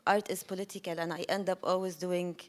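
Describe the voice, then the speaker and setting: A woman filmmaker talks on a panel in a slightly low voice. Her answer is unscripted, and she forms her thoughts as she speaks. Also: level tone, a little fast, a little mellow.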